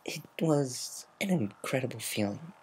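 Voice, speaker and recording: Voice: mid-range voice, lively intonation, a little slow, husky, voice pushed up, low-quality recording. A reader performing a brash, proud cartoon pegasus mare, defensive and hurting underneath, in a dramatic fan fiction reading. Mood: preening.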